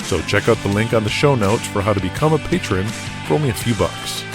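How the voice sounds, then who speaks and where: A man's voice: on the low side, lively intonation, fairly quick. A man narrating and hosting a scripted audio drama, with music behind him. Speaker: narrator and show host.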